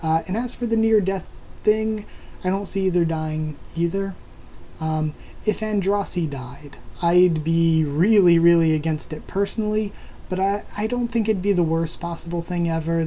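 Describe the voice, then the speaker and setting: A man's voice: on the high side, warm tone, slight background noise. A man hosting a casual video where he answers viewer comments, rambling with many filler words while he is losing his voice. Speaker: YouTube host answering comments.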